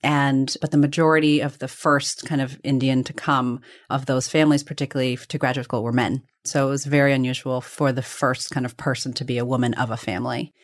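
A woman's voice: extremely low pitch, little pitch movement, brisk. Reflective woman telling family stories. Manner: reflective, discursive, hedging with self-corrections. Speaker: interview guest. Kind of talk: conversational personal storytelling.

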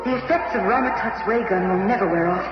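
An actress playing an earnest, anxious young heroine with a melodramatic manner in a superhero radio drama. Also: female voice, medium pitch, quick pace, close to an everyday voice. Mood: despairing. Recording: dull, echoing hall reverb, music behind.

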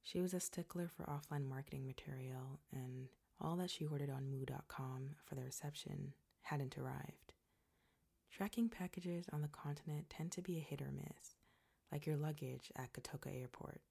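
Low, husky woman telling a story. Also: moderate pace, dark tone. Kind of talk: scripted personal story narration.

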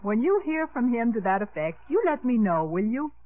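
A woman's voice: on the high side, sing-song, moderate pace. A woman playing a poised, affectionately teasing widowed lady mayor in a 1950s radio comedy. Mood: amused, teasing. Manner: composed, teasing. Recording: old radio recording, thin phone-like sound.

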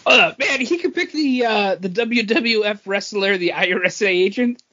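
A man's voice: high voice, expressive, moderate pace, sharp tone. A man chatting casually as a podcast co-host. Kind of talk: casual chat. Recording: dull, lo-fi podcast audio.

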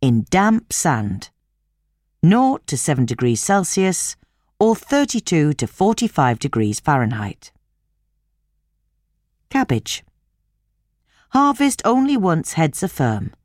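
Female narrator for instructional read-aloud: deep register, very varied melody, measured delivery, dry close sound. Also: very animated, darkish tone, no echo. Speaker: narrator reading a gardening magazine article.

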